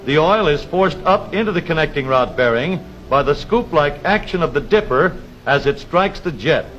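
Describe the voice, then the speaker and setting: A man's voice: on the high side, expressive, fairly bright tone, faint music behind. 1930s male film narrator, dull vintage soundtrack. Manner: measured formal announcer delivery, precise explanatory phrasing.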